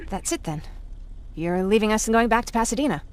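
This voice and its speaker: A woman's voice: mid-range voice, lively intonation, fast talker, close to an everyday voice. An actress playing a compassionate, outspoken woman of the resistance in a video game. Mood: resigned.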